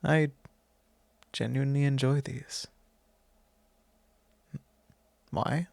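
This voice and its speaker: A man playing a courtly, fond vampire lord in an intimate ASMR roleplay, speaking formally and unhurriedly with pauses. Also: medium pitch, fairly even intonation, vocal fry, a little mellow, no echo. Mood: gentle, earnest. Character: courtly possessive male vampire lord, long-lived, fond and wistful. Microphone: close microphone, dry.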